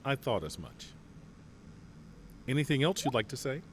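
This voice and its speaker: Male actor as a composed general, lively inflection, steady authority; audio drama. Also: mid-range voice, moderate pace, a little hiss or hum, close to an everyday voice. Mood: commanding. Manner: calm, assured commanding tone. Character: composed male general leading a mercenary company. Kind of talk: fan audio drama acting.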